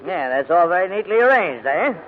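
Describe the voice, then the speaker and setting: Fussy older gentleman, animated old-radio voice. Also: high voice, a little fast. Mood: grumbling, fussy. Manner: fussy, grumbling, formal, hesitant. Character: crusty, reluctant but kindly old English gentleman guardian. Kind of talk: 1940s radio acting. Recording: muffled vintage 1940s radio recording, music.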